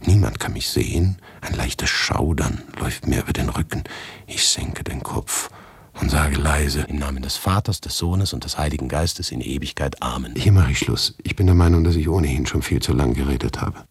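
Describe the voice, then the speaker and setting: An anguished, paranoid man of letters in a radio play. His voice is low and husky, recorded very dry. He tells his story in a deliberate, inward way, sometimes dropping into a whisper. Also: no room sound, exaggerated voice. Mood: resigned, subdued. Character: anguished, paranoid male writer telling his grotesque misadventure. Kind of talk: literary radio monologue, first-person narration.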